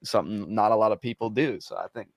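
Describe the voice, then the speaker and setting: A soft-spoken man with a bassy voice, chatting casually as a guest about his rapping. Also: fast talker, dry recording, clean studio sound. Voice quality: bassy speaking voice. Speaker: guest rapper. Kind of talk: casual interview chat.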